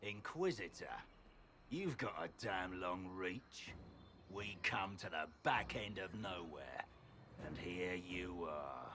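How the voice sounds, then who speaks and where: An actor voicing a battle-worn villainous general in a video game, proud and defiant, with blunt, taunting soldierly speech. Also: male voice, deep voice, expressive, takes it slowly, noisy background. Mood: grudging, taunting. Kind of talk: dramatic video game dialogue.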